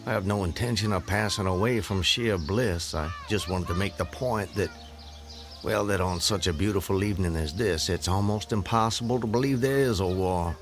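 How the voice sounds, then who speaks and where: An actor playing a proud, fervent planter in a radio drama, formal and grandiloquent. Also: male voice, deep voice, normal speed, music in the background, close to an everyday voice. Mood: earnest, devoted. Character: proud, fervent Southern gentleman planter and husband.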